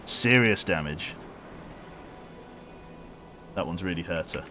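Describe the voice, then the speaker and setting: A man streaming a submarine game, calmly giving orders aloud and commenting as he plays. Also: deep voice, slow pace, noisy background. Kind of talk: gameplay commentary. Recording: game music loud behind.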